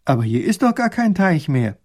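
A man voicing a curious young princess who asks quick, eager questions. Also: high-pitched, big pitch swings, a little mellow, pitched above the performer's own voice.

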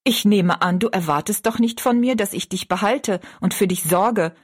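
A woman's voice: medium pitch, moderate pace, slightly rough, lightly acted. A woman voicing a harsh, contemptuous stepmother in an audiobook story, stern and cutting. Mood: cold, stern. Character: cold, scornful stepmother, harsh mistress of the house.

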